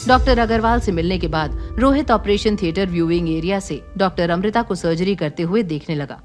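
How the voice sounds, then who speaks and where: A woman's voice: lowish voice, a little fast. A woman reading scripted, dramatic story narration as the narrator of an audio series. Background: music.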